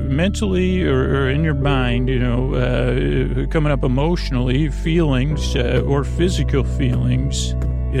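A man hosting a bedtime podcast in a creaky, lulling voice, meandering and repeating himself with halting pauses. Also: mid-range voice. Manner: soothing, lulling. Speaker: podcast host. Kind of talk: rambling bedtime podcast monologue.

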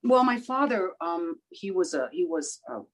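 A woman singer in her sixties with a rough voice, talking in a casual interview, halting with many fillers and restarts. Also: fairly low pitch, sing-song.

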